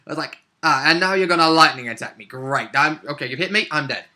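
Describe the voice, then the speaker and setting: A man's voice: a little high, fast talker, bright, forceful tone. A man chatting casually as a player about game tactics, animatedly acting out his thoughts. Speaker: player in a tabletop role-play group.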